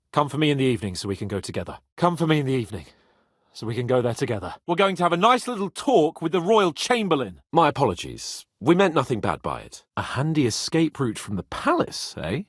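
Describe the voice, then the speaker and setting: An actor playing a proud, hot-headed young nobleman in a video game, with a theatrical, sharp-tongued manner. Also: mid-range voice, breathy edge, no echo, clean studio sound. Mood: conversational, then apologetic. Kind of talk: dramatic video game voice acting.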